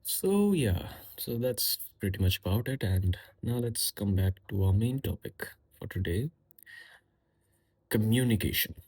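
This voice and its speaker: A man hosting a solo podcast, speaking in a musing, unhurried way with pauses and fillers; English is not his first language. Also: on the low side, expressive, darkish tone, breathy edge. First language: a language other than English and Hindi. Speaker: podcast host. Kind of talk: reflective solo podcast monologue.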